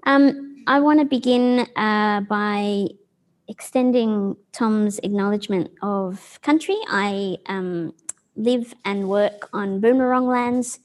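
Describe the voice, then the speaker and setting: A woman's voice: mid-range voice, slow. A woman co-hosting a live arts talk, speaking informally with frequent ums and restarts to a room of people. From Australia. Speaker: arts organisation leader, co-host. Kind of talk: casual spoken presentation at a live event.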